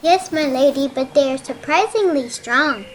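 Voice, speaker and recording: Woman as a very high-pitched, polite young servant. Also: normal speed, slight background noise, close to an everyday voice, low-quality recording. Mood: helpful. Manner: deferential. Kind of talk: audio play acting. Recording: faint music behind the voice.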